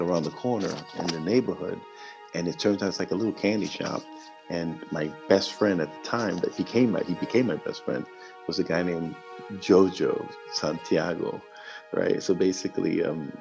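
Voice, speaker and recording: A man's voice: low voice, creaky, darkish tone. A man from Puerto Rico with a Puerto Rican accent and Spanish as his first language, telling his story as an interview guest in an earnest, meandering way with many restarts. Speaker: guest martial artist. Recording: muffled lo-fi audio.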